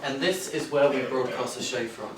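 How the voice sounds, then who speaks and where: Stern workplace boss, male actor; curt, condescending, medium pitch, heard from afar on an echoey stage. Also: normal speed, music in the background, natural voice. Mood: proud. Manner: curt, scolding. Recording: distant, echoey stage recording with hiss.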